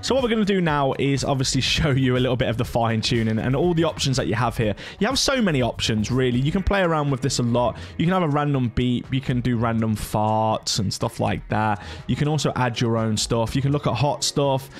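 A man in his thirties giving a casual software walkthrough as a gaming YouTuber. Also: medium pitch, animated, brisk, hardly any pauses. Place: indoor home gaming setup.

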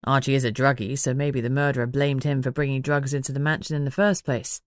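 A woman voicing a calm, teasing man in an audiobook, measured and matter-of-fact. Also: extremely low pitch, no room sound, clean studio sound, voice pushed down. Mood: measured, thoughtful. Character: calm teasing man, werewolf packmate and investigator.

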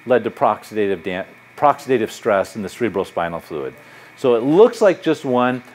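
Animated middle-aged man lecturing in a hall. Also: mid-range voice, a little hiss or hum. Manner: animated, gesturing, conversational and anecdotal. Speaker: psychology professor. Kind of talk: public lecture with audience questions.

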